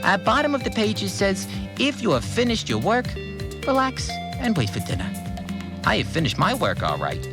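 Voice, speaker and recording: A man playing a blunt, irritable, obsessively tidy cleaner in a first-person audio drama, plain-spoken and quick to swear. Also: fairly high pitch. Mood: satisfied. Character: obsessively orderly, irritable male cleaner, simple-minded rule follower. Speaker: voice actor in a fiction podcast.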